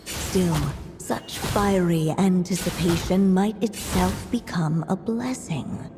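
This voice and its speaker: A tender witch mother narrates a game's storybook with great solemnity. Her voice is low and very slow, each phrase deliberate. It rings in a long reverb over music. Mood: solemn, storytelling.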